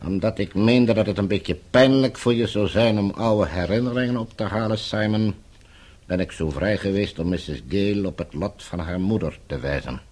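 A male actor plays a blackmailing official in a radio drama. His voice is low and rough-edged, his phrasing courteous and formal, with controlled menace under every line. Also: darkish tone, lightly acted. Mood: menacing. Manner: formal, smooth-tongued, measured menace. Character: coldly polite blackmailing villain, a controlling official.

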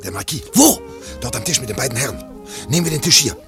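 An actor playing a brisk, practical undercover detective in a radio play, with a formal, matter-of-fact manner. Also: male voice, mid-range voice, animated, soft background music, natural voice.

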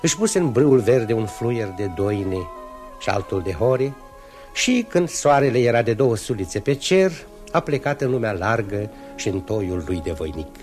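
A man with a fairly low voice narrating a fairy tale in a measured storybook way. It is an old radio recording with clear music under him. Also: sing-song, a little mellow.

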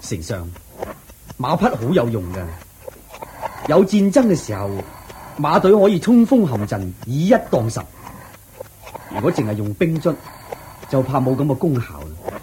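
A man with a Hong Kong Cantonese accent playing an earnest army general devoted to his horses in a radio drama, forceful and full of questions. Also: mid-range voice, big pitch swings, moderate pace, long pauses, slight background noise, natural voice. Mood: earnest, pleading.

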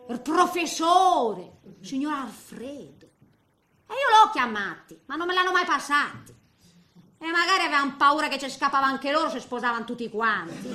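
A woman's voice: sits high, sharp tone. An actress playing a sentimental, gossipy woman of eighty in a theatrical comic monologue, rambling colloquially with a regional Italian accent. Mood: fond, amused. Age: middle-aged. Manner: rambling, colloquial storytelling with exclamations and muttered asides. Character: eighty-year-old Italian care-home woman, sentimental, feisty, gossipy. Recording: echoey stage recording with faint noise.

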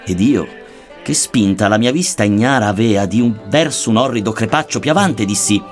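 A man's voice: lowish voice, moderate pace. A man recites archaic epic verse in a solemn, theatrical manner. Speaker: reader of verse. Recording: music under the voice. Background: music.

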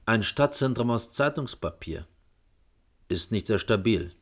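Casting: pragmatic middle-aged chief architect, low voice, stern and world-weary, radio-play dialogue. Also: male voice, expressive. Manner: measured, firm, admonishing. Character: stern, disillusioned male senior architect, pragmatic boss. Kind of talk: German radio drama dialogue.

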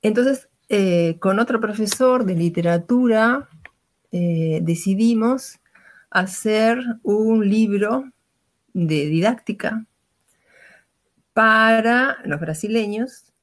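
A woman's voice: medium pitch, takes it slowly. A woman in her sixties with a Uruguayan Spanish accent, a retired teacher telling her life story in a hesitant, reflective way full of fillers and restarts.

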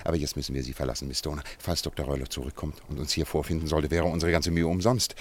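An actor playing a brisk, commanding, analytical detective in a radio play, speaking with deliberate, courteous authority. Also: male voice, rumbling low, steady tone, slight background noise.

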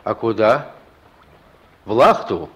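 An actor playing a gruff, fatherly police chief in a Soviet radio drama, speaking tersely and with teasing authority. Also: on the low side, lively intonation, slow pace, fairly bright tone, lo-fi sound. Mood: relieved, brisk.

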